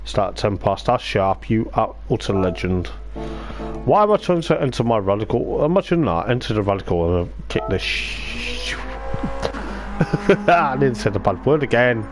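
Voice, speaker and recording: A man's voice: mid-range voice, very animated, measured pace, music in the background. A man hosting a casual sim racing livestream as commentator, chatty and self-mocking, his strained voice starting to give out. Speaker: livestream host and race commentator.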